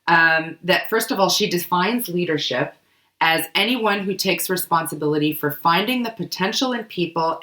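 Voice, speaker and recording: Casual podcast co-host, a woman with a cold-roughened voice, a bit low, recorded in a roomy space. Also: fairly bright tone. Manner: chatty, loose, many fillers. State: hoarse from a cold, coughing. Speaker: book club podcast co-host. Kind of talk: casual explanatory chat.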